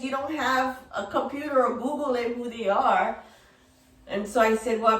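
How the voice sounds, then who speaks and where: A middle-aged woman telling personal stories casually, rambling in an animated way and acting out remembered dialogue. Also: fairly high pitch. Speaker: dog-business entrepreneur and course creator. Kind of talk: casual personal storytelling to camera. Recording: roomy echo, distant from mic.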